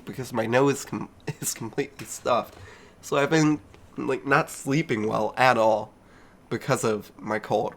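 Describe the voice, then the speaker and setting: A man's voice: mid-range voice, fairly bright tone. A young man with a cold, sounding nasal and stuffed up, giving rambling, self-mocking gameplay commentary as a streamer. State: congested with a cold. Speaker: video game streamer.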